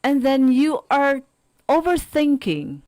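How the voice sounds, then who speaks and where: Slow, lively Filipino woman teaching. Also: high voice, dry recording, studio quality. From Philippines. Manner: deliberate, halting short phrases, rhetorical questions to students. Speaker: radio school teacher. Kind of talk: educational radio lecture.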